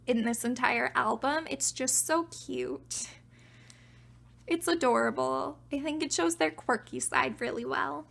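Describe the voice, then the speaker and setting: A young woman casually talking through her collection, chatty and self-deprecating, her voice getting raspy from talking a while. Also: sits high, pushed and bright. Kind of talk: casual show-and-tell narration.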